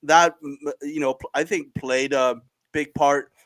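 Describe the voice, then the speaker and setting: A man's voice: on the high side, steady tone, a little slow, fairly bright tone, no room sound. A man on a political discussion show who cuts in emphatically, with frequent ums and restarts. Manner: interjecting, emphatic, hesitant with ums.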